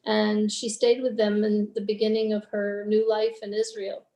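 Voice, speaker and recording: A woman's voice: medium pitch, level tone, moderate pace, mellow, echoey room. A woman in a book club telling a family story in a casual, rambling way over a video call. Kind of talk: casual online group discussion.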